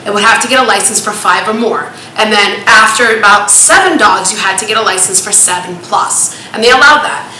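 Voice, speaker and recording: A woman speaking up at a town planning board hearing, asking pointed questions in a loud, rambling way. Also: medium pitch, brisk, hardly any pauses. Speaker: resident speaking at public hearing. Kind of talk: public comment at a town meeting. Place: town hall meeting room. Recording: echoey meeting room with light noise.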